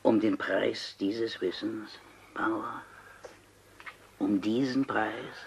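An actor playing an obsessed, coolly persuasive seventy-year-old scientist in a radio crime play, deliberate and insistent, with dramatic pauses. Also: male voice, lowish voice, fairly even intonation, creaky, slight background noise, exaggerated voice, low-quality recording. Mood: urgent, persuasive. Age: older.

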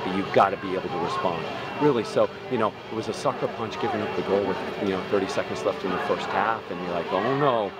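A man in his forties, a soccer coach giving a postgame interview on a stadium field at night, talking reflectively with many "you know"s and restarts. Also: on the low side. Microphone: handheld camera mic, close framing.

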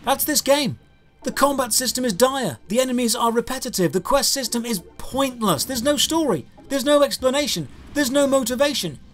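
A man's voice: very high-pitched, lively intonation, normal speed. A man in his thirties narrating a scripted game review as host, with an emphatic, exasperated, rhetorical delivery. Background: background music.

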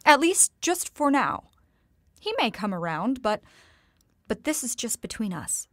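A woman playing a tender, doting mother in an audio drama, with gentle, proper, slightly theatrical diction. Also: fairly high pitch, big pitch swings, unhurried, no echo, clean studio sound, heavily acted. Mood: secretive, hesitant.

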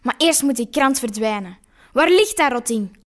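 A hurried, foul-mouthed villain magician , acted by a woman. The voice is high, performed in amateur audio drama style. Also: lively intonation, close to an everyday voice. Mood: angry, hurried. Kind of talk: amateur radio play acting.

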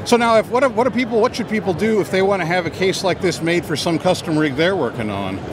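A man's voice: high voice, expressive, fast, noisy background. A man in his forties hosting an event vlog with upbeat, effusive narration and interviewing. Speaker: host. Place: busy sports arena expo booth.

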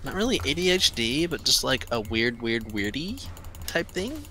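A man's voice: mid-range voice, very animated, normal speed, bright, forceful tone. A man streaming a video game, chatting casually and swearing a lot in a goofy way. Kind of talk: casual gaming chat. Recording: clear room noise.

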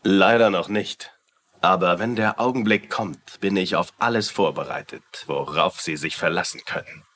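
A man's voice: sits low, normal speed, fairly bright tone. An actor playing a boastful, self-important small-town lawman who loves westerns, in a German-dubbed TV crime drama.

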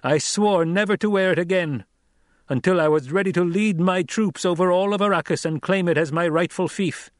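A man voicing a young male desert leader who speaks forcefully and questions his listeners rhetorically, in an audiobook. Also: high voice, normal speed, no room sound, clean studio sound, voice pushed up, close to an everyday voice. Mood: commanding. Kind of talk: audiobook character voice.

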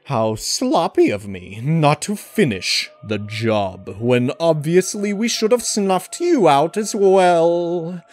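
A man voicing a pompous, villainous gentleman frog from a video game, theatrical and grandiose. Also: a little high, animated, pitched above the performer's own voice. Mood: mocking menace. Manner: theatrical, drawn-out, mock-apologetic.

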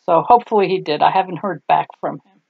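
A woman's voice: fairly low pitch, fast, vocal fry, slightly rough, no room sound, phone-quality sound. An older woman, German her first language, chatting in a homey, rambling way while she shows a clay craft, tired at the end of the day. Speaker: craft tutorial host. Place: home craft table, indoors.

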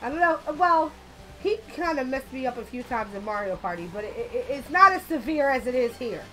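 A young woman with a slightly raspy voice from being sick, chatting casually and swearing as she plays a racing game on a headset mic. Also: sits high, animated, brisk, soft background music, rough recording. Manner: chatty, swears often, loud outbursts at the game. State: a little sick. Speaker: gaming channel host. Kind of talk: casual let's-play commentary.

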